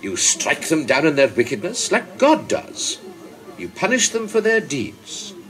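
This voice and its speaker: Lively theatrical king. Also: fairly high pitch, a little slow, faint music behind, full character voice, low-quality recording. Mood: probing, insistent. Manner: theatrical, condescending, patronising. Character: genial, loquacious, commanding king of England. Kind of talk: broadcast radio play acting.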